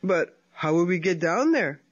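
A woman's voice: lowish voice, very animated, a little slow, husky, heavily acted. A woman voicing a hungry, gullible, hot-tempered male coyote who grumbles and threatens. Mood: wary. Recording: dull lo-fi recording.

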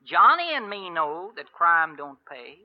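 A man's voice: on the high side, big pitch swings, unhurried. A man playing a good-natured, sheepish cowhand with folksy, ungrammatical talk in an old-time radio western. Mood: amused, sheepish. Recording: dull vintage radio recording.

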